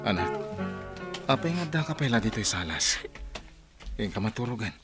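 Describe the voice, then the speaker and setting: A man's voice: fairly low pitch, sing-song, moderate pace. Plaintive widowed father, music behind. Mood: weary, tender. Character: grieving widowed father, working man, gentle with his children. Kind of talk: radio drama acting.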